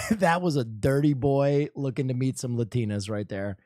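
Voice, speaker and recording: A man's voice: medium pitch, warm tone, dry recording, clean studio sound. A man chatting casually and crudely, joking as he reacts to old videos of himself. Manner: loose, profane, joking, quick asides.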